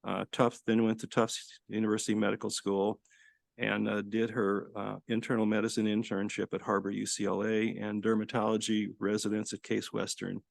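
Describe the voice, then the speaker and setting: A man with a low, gravelly voice introduces a guest speaker at a lecture. He talks haltingly, stumbling and restarting with many fillers. The sound is dry and close. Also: flat delivery, dry recording. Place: lecture hall with live audience. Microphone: podium microphone.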